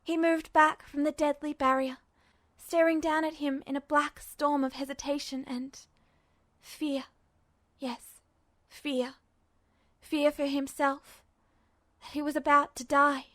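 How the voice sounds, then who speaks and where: Female story narrator, high voice, dramatic fiction reading, dry close sound. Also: level tone, slow pace, pauses often, fairly bright tone, dry recording.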